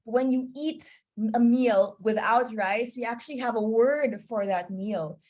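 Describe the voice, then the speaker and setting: Woman teaching a webinar over a video call, kinda high voice, keeps asking "you know?". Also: unhurried, a little mellow. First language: English. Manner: chatty, often checks in with "you know?" and "okay?". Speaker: webinar presenter. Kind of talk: online teaching presentation.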